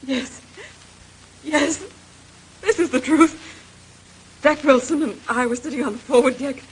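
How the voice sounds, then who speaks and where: An actress playing a distraught wife confessing in a 1930s radio drama, with a halting, pleading, melodramatic delivery. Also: female voice, high-pitched. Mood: strained confession. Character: distraught young wife confessing to an accidental killing. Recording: noisy vintage radio recording.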